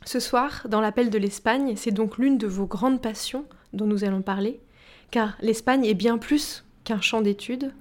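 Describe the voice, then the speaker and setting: A woman hosting a radio interview who asks formal, measured questions. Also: a little high.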